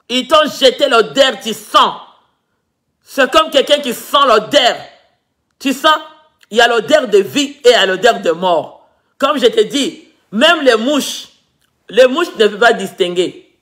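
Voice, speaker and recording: Male preacher whose first language is another, high and bright voice, fervent delivery. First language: a Congolese language. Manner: fervent preaching with shouted exclamations. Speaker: pastor. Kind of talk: sermon.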